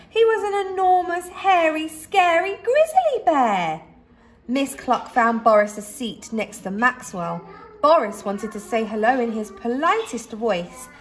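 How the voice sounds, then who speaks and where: A woman reading a children's story aloud expressively, like a teacher, with dramatic pauses and emphasis. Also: sits high, slight background noise.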